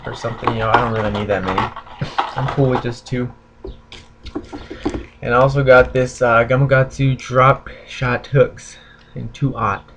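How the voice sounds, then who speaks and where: Casual young man, noisy webcam recording. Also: mid-range voice. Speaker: fishing vlogger. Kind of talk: casual unboxing chat to viewers. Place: small bedroom, indoors at a desk.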